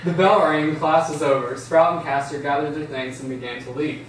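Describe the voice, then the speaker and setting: A man's voice: a little high, fairly even intonation, moderate pace. A man reading out stage directions as narrator in an amateur table read. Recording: echoey room, distant mic, faint noise.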